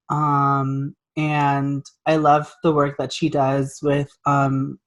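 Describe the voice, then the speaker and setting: A woman hosting an interview, upbeat and welcoming, with frequent fillers. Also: fairly low pitch. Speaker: host.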